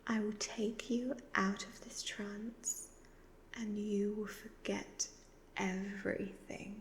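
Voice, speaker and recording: Woman doing an evil seductive witch for asmr, half whispering, creaky n really slow, gloating. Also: medium pitch, little pitch movement. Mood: menacing, seductive. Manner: slow, deliberate, hypnotic, condescending. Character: evil ageless witch, youthful-looking, gloating, seductive, predatory. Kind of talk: soft-spoken ASMR roleplay. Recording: faint background noise.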